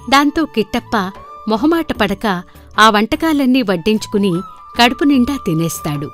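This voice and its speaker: A woman narrating a scripted folktale as a storyteller. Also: fairly high pitch. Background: background music.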